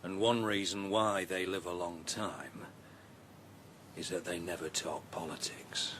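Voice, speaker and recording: An actor playing a gloomy, wary old male donkey in a radio drama, speaking in a dry, deliberate way. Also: deep voice, fairly even intonation, noisy background. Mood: dry, cynical.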